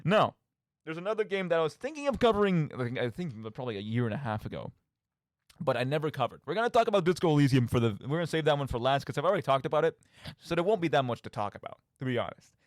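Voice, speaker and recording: A man's voice: a little high, sing-song, fast, no echo, clean studio sound. A man hosting a radio show, giving casual solo commentary on games in an emphatic, rambling way, often interrupting himself.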